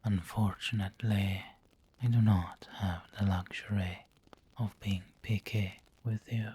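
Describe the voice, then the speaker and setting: A man playing a refined, doting, possessive vampire lord in an ASMR roleplay, breathy and whispery, with a genteel, coaxing manner. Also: lowish voice, steady tone, darkish tone. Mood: gentle, refined. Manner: slow, genteel, coaxing endearments.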